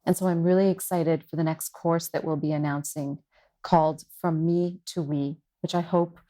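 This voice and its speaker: A woman's voice: low voice, steady tone, moderate pace, warm tone. A woman from Iran whose first language is Farsi, introducing herself sincerely as a workshop curator on a podcast. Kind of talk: casual spoken introduction.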